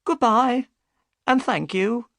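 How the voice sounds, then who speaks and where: A man voicing an upset, tearful working woman in high falsetto for a storybook reading. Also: expressive, takes it slowly, creaky, a bit bright, no echo, heavily acted. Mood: grateful. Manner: high, strained falsetto, sobbing at times.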